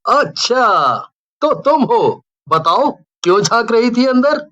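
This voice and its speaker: Woman acting as young male gym trainer, unhurried, high for the part, teasing, self-assured, cartoon delivery. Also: very animated, a bit bright, highs cut off, full character voice. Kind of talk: Hindi horror cartoon character voice.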